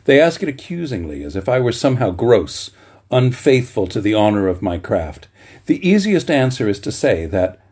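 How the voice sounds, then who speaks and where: A man reading literature aloud in a measured, deliberate way, with the informal touch of a bedtime story. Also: medium pitch, mellow, breathy edge. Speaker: reader at a bedtime story series.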